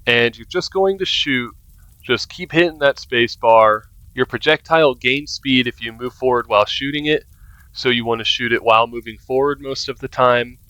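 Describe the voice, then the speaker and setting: A man's voice: mid-range voice, normal speed, sharp tone, smooth, no room sound, muffled recording. A man giving casual walkthrough commentary for a video game. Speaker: game guide narrator.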